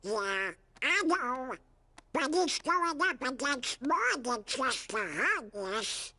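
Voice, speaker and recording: A man's voice: very high voice, big pitch swings, slow, pushed and bright, exaggerated voice. A man voicing a small, fretful, conscientious cartoon cricket advisor in a video game. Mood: worried.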